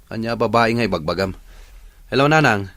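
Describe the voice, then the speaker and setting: Placating grown son male actor, Filipino accent, radio serial; expressive swings in a dry recording. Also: on the low side, a little fast, no room sound. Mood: placating, teasing. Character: grown working son, easygoing, placating boyfriend. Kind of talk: radio drama acting.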